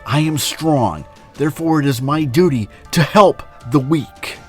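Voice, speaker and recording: A man's voice: on the high side, a little slow, darkish tone, breathy edge. Man acting a noble, declamatory superhero, music behind. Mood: solemn resolve. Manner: declamatory, earnest. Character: noble, confident adult male atomic superhero. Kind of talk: old-time comic-book radio drama acting.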